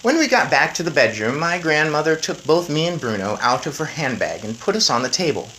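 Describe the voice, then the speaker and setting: A woman reading a story aloud as narrator, expressive, with casual asides to the listeners. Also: sits low, a little fast, slight background noise, low-quality recording. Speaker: story reader.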